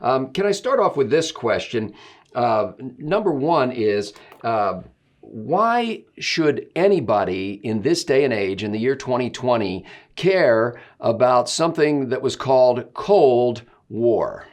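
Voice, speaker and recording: A man's voice: medium pitch, sing-song, slow. A man working as an interviewer, putting unscripted questions in a roundabout, hesitant way.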